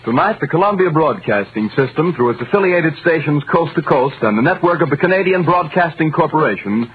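A man announcing in formal, measured 1930s radio style, reading from a script. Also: a little high, animated. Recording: tinny, noisy vintage radio broadcast.

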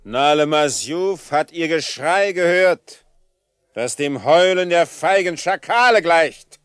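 An actor playing a proud, cunning middle-aged chief in a radio drama, speaking solemnly and with haughty formality. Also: male voice, a little high, animated, takes it slowly, a bit bright, clear, resonant tone, no echo, studio quality. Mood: proud, scornful. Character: proud, cunning Comanche chief of about fifty. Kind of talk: German radio drama acting.

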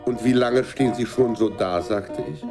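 An actor playing a talkative, jovial gentleman of rank in a German radio drama. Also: lowish voice, moderate pace, loud music behind the voice, close to an everyday voice. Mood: amused storytelling.

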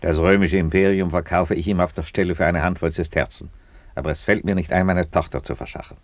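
An actor playing a wry, composed Roman emperor in a radio play, speaking with dry irony. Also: male voice, deep voice, steady tone, quick pace, no room sound. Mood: firm. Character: wry, unhurried Roman emperor, husband and father. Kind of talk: German radio play acting.

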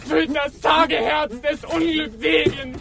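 A high-pitched, pompous declaiming poet. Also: male voice, vocal fry, over music, exaggerated voice. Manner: pompous, lofty, drawn-out. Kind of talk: theatrical radio drama declamation.

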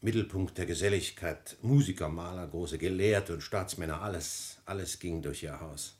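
An actor playing a dignified family physician in a classic radio play, formal, measured and declamatory. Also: male voice, low voice, darkish tone, lightly acted. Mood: courtly. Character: courtly, dignified family physician, loyal and dryly pointed. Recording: noisy old radio drama recording.

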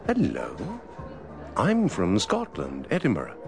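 A man's voice: deep voice, huge pitch swings, slow, creaky, music in the background, full character voice. An actor playing a businessman from Edinburgh who flirts at a bar, in a playful, showy way. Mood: flirty. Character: smooth-talking Scottish businessman, flirting at a bar. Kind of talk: scripted language course dialogue.

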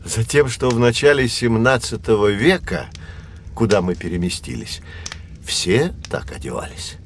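An actor playing a learned, patient guide who explains science to children in an educational audio drama, in a measured, didactic way. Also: male voice, medium pitch, very animated, gravelly, a little hiss or hum. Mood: explaining.

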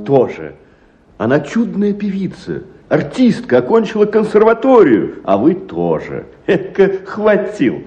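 An actor playing a genial, educated doctor, effusive and coaxing, in a radio play of a literary story. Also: male voice, sits high, huge pitch swings, unhurried, warm tone, lo-fi sound, soft background music, full character voice. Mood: animated.

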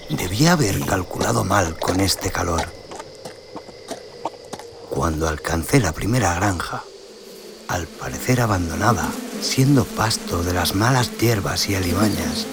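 A man narrating a scripted western horror tale in a brooding, theatrical, deliberate manner. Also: low voice, creaky, heavy background noise. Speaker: audio story narrator. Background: cinematic sound design and music.

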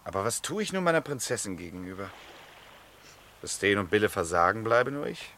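An actor playing a good-humoured middle-aged count and husband in a literary radio drama, with urbane, formal period diction and wry amusement. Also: male voice, fairly low pitch, sing-song, fairly quick, a bit bright, a little hiss or hum. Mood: pondering.

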